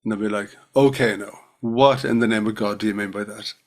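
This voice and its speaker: A man's voice: lowish voice, steady tone, fairly quick. A middle-aged man hosting a podcast, informal and jokey, telling anecdotes and asking questions casually. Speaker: podcast host. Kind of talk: conversational podcast interview.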